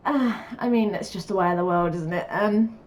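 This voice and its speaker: A woman's voice: on the low side, moderate pace, a little mellow. A woman with a stuffy cold, chatting through a game build in a casual, rambling voice-over with many fillers. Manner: rambling and chatty, lots of fillers, laughs often. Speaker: gaming YouTuber.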